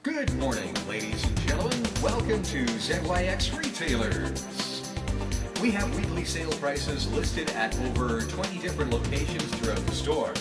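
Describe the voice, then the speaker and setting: A man's voice: a little high, big pitch swings, moderate pace, recorded from a distance, loud music behind the voice. A man playing an upbeat cruise director and store announcer, giving cheery, formal promotional announcements. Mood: upbeat, inviting. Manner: formal greeting, cheery sales patter. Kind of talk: promotional public-address announcement.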